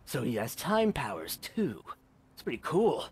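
A man's voice: mid-range voice, huge pitch swings, measured pace, noisy background. A man playing a brooding, cocky antihero hedgehog with presidential ambitions in an improvised comedy fandub, melodramatic and self-important. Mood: annoyed. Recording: music playing behind the voice.

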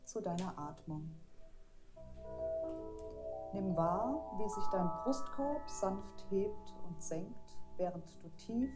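A woman guiding a meditation in a gentle, deliberate, soothing manner. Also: medium pitch. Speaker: meditation guide. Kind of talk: guided meditation narration. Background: meditation music.

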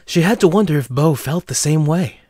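A man's voice: fairly high pitch, a little fast, darkish tone, dry recording, clean studio sound. A man reading scripted narration for a fantasy romance audio drama.

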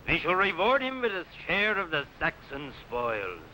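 An actor playing a boisterous, bloodthirsty Viking warlord, declaiming theatrically in 1950s television adventure style. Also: male voice, on the high side, very animated, normal speed, sharp tone, full character voice. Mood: gloating. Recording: noisy old 1950s film soundtrack.

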